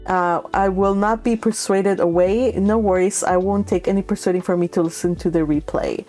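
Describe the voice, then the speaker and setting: Chatty giggly lady doing a livestream, talking to her chat, mid pitch, bit of music behind. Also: fairly even intonation, moderate pace, warm tone. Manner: chatty, giggly, affectionate toward listeners. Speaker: host of a live read-along stream. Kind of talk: casual livestream chat with viewers.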